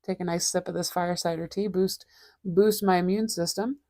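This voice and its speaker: A woman, chatting casually and rambling as she hosts a relaxed art livestream, laughing often and poking fun at herself. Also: lowish voice, moderate pace, no room sound, clean studio sound. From Boston area, USA. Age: 40s. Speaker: livestream host and artist. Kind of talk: casual chat. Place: home studio.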